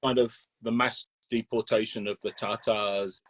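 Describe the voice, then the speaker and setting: A man's voice: lowish voice, level tone, normal speed, a bit bright, no echo, rough recording. A man hosting a live interview, asking his guest probing follow-up questions in a casual way. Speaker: host interviewer. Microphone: phone on a live video stream.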